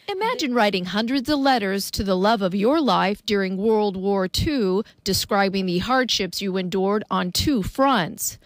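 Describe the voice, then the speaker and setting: A woman's voice: medium pitch, no echo, studio quality. A woman narrating scripted news reports in the role of a reporter.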